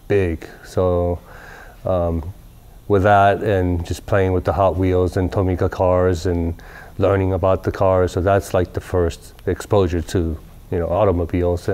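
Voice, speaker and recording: Low-voiced man in his forties from Southern California, laid-back interviewee with a wandering delivery, recorded seated in a workshop. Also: clean tone. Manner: relaxed, rambling with many fillers. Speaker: company president. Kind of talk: casual interview. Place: large workshop garage, indoors.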